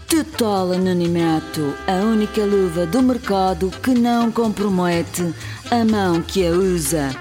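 A slick advert announcer, played by a woman, pitching in a polished, salesy way at a medium pitch. Music plays clearly behind her spoof corporate radio spot. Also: close to an everyday voice. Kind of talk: parody radio advert read.

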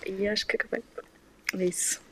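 A woman's voice: medium pitch, steady tone, brisk, sharp tone, slight background noise, rough recording. A woman whose first language is not Portuguese, a guest on a podcast recommending books, hesitating with many fillers and small laughs.

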